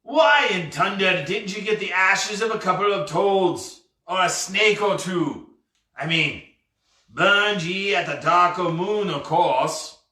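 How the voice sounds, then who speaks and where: A man voicing the sly, blustering ghost of a burly pirate captain in a storybook, with archaic pirate dialect and deliberate phrasing. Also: high-pitched, sharp tone, voice pushed up, exaggerated voice. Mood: exasperated. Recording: roomy, echoey, distant mic.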